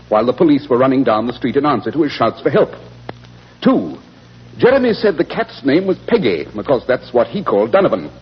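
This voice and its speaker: A very husky British gentleman detective from 1940s radio. He sounds clever, smug and authoritative, with lively, sweeping intonation, on a dull, muffled old recording. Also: mid-range voice, full character voice. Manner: crisply authoritative, smug, explanatory. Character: Sherlock Holmes, brisk, self-assured, clever English gentleman detective.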